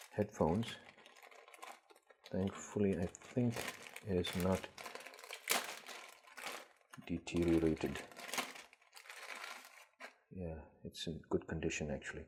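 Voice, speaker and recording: A man with a husky voice giving casual, tentative unboxing commentary, thinking aloud as host. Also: sits low, steady tone, takes it slowly, long pauses, darkish tone, a little hiss or hum. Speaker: gadget collector and video host.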